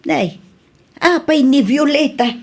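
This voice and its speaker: A woman's voice: high-pitched, slow, creaky, darkish tone, heavily acted. An actress with an Ilocano accent playing an everyday village woman in a radio comedy drama. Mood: concerned.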